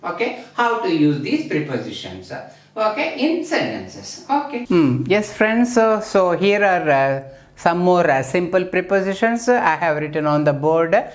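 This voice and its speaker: Slow, deliberate woman teacher, echoey room. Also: on the low side. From India. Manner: slow, deliberate, repeats example sentences with fillers. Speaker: English teacher. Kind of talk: classroom grammar lesson at a board. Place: classroom with a board. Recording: roomy echo, distant from mic.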